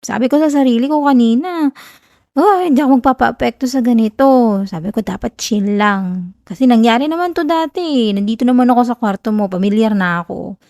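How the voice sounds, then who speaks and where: A woman playing a shy, flustered young girlfriend in an intimate ASMR roleplay, speaking softly and teasingly with a Filipino accent. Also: on the high side, animated, fairly quick, no echo, clean studio sound. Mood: nervous, affectionate. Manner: soft, intimate, bashful, playfully whiny. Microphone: close, dry ASMR microphone.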